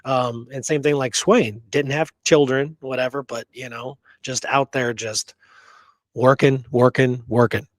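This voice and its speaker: A man with a mid-pitched voice in a close, dry recording, talking as a podcast host. He chats casually about history, musing aloud, asking rhetorical questions and repeating himself as he makes his opinions known. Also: fairly even intonation, measured pace, dry recording.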